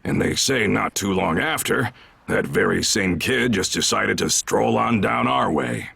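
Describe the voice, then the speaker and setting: Gruff brigand boss for a game, husky and raspy, swings up and down a lot, drawly and bossy. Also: male voice, fairly low pitch, expressive, measured pace, pushed and bright, no echo, heavily acted. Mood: probing, sly. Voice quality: gravelly, husky. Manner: folksy drawl, teasing authority. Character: gruff, sly, commanding male leader of lawless Norman seafaring brigands. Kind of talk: video game cutscene acting.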